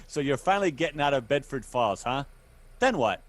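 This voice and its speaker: An actor playing a folksy, nosy small-town taxi driver in a 1940s-style radio drama. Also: male voice, mid-range voice, animated, normal speed, a bit bright, close to an everyday voice. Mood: teasing. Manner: folksy, colloquial.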